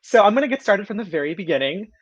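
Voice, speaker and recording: A speaker from Hawaii whose first language is Hawaiian, a choral artist answering interview questions thoughtfully, with frequent pauses and restarts. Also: mid-range voice, animated, dry recording, lo-fi sound. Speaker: choral artist, podcast guest. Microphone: video call.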